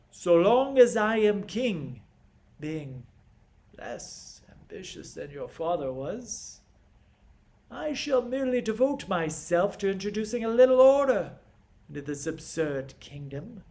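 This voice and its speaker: A man playing a proud, scornful and stern king in a classical stage monologue, with theatrical, deliberate, emphatic delivery and dramatic pauses. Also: sits high, a little mellow, exaggerated voice.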